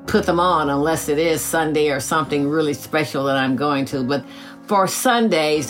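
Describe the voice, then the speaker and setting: A woman in her eighties, a retired teacher, telling her own story in a gracious, measured way with soft laughs. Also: low voice. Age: 80s. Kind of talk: reflective personal storytelling.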